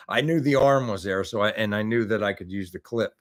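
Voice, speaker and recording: A man's voice: fairly low pitch, a little fast. A man in his fifties hosting a car hobby livestream, chatting casually and rambling through answers to viewer questions with lots of restarts. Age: 50s. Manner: rambling, many restarts and fillers.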